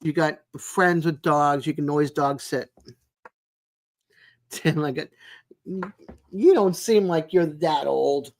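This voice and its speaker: A woman in her sixties chatting casually as a live stream host, in a rambling, chatty way with frequent pauses. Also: deep voice. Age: 60s. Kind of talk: casual chat with live viewers.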